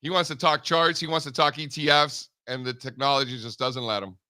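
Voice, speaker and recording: A man's voice: medium pitch, fairly even intonation, a little fast, pushed and bright, smooth, no echo, clean studio sound. A man co-hosting a markets show, bantering and joking with an announcer-like radio voice. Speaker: co-host. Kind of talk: casual chat.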